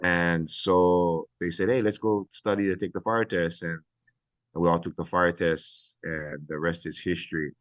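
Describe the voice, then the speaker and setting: A middle-aged man from Hawaii, a retired fire battalion chief, speaking earnestly and reflectively with many pauses in a podcast interview. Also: low voice, flat delivery, dry recording.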